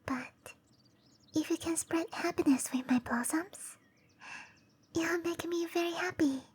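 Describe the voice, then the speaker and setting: A woman voicing a gentle, shy cherry blossom dryad in whispery roleplay ASMR, with soft breathy speech and a tender, hesitant manner. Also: very high-pitched. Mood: kind, insistent. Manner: slow, tender, hesitant delivery.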